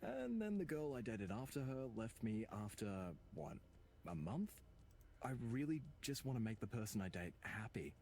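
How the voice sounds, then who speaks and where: A man's voice: mid-range voice, big pitch swings, normal speed, darkish tone. A man acting a troubled, self-doubting, earnest young man in a visual novel, with faint ambience behind him. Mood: troubled, confiding. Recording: faint background noise and ambience.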